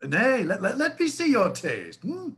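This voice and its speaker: An actor playing a blustering old gentleman with a gouty temper, chuckling and quick to anger in a stage play. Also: very high-pitched, huge pitch swings, heavily acted. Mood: suspicious.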